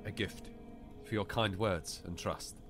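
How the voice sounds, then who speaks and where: Man playing a deep-voiced, weary, solemn king. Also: measured pace, music in the background. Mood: solemn, kind. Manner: grave, formal, stately. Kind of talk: dramatised audiobook dialogue.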